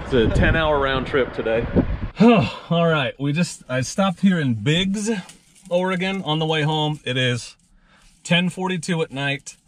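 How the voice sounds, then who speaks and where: A man's voice: fairly high pitch, lively intonation, unhurried, no room sound. A man in his forties hosting a casual road trip vlog, joking and self-mocking, filmed on a handheld action camera in a truck and at roadside stops. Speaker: motorcycle vlogger. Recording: background road and wind noise.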